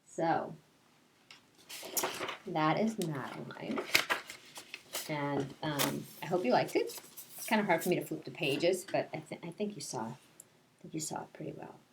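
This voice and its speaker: Slow-paced woman reading to young children. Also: low voice, lively intonation, vocal fry, background noise. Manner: simple, explanatory talk for young children. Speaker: kindergarten teacher reading story time. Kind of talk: picture-book read-aloud with casual asides to children.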